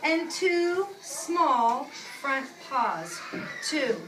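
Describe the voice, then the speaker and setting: A woman preschool teacher reading aloud to young children, using simple instructive phrasing, repeating key words and pausing for questions and asides. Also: high-pitched, very animated. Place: indoor classroom with young children. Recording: echoey room, distant mic, noisy background.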